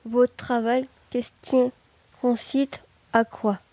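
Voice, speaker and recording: A woman's voice: on the high side, flat delivery, slow, warm tone, dry recording. A woman taking part in the radio show, introducing herself with shy restarts. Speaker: show participant.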